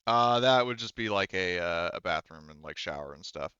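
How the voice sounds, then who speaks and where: Bright, low-voiced man running a tabletop game. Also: expressive, moderate pace, clean tone, no room sound, highs cut off. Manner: hesitant, conversational, hedging. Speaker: game master. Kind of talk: improvised tabletop game narration.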